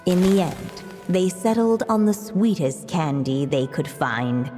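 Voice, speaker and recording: Slow, reverberant storybook narrator. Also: female voice, on the low side, lively intonation, dark tone. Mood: solemn. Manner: measured, formal, wry. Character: composed storybook narrator, gently teasing the guests. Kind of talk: scripted storybook narration in a game. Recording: long reverb over music.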